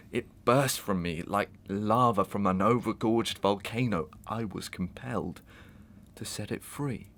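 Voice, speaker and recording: Man acting a slow, deep, sinister melodrama villain. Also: slight background noise. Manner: theatrical, deliberate, archaic delivery. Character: guilt-racked, sinister gentleman murderer of Victorian melodrama, tormenting and defiant. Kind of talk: Victorian stage melodrama acting in an audio drama.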